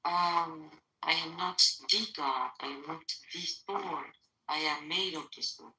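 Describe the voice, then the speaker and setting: Low-voiced hesitant woman. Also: slow pace, bright, forceful tone, poor audio. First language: not English. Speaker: conversation partner.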